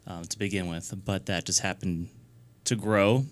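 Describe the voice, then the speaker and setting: Man, 30s, from New Hampshire. Low, smooth voice; earnest interview guest who restarts often. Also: fairly even intonation, no room sound. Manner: earnest, halting, many fillers and restarts. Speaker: guest, nonprofit founder. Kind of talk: casual radio interview.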